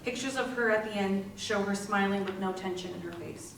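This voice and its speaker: A woman's voice: medium pitch, fairly quick, creaky. Female narrator for stage reading, mid-range voice, very echoey hall sound. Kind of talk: scripted narration in readers theater. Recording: distant mic, very echoey room, faint noise.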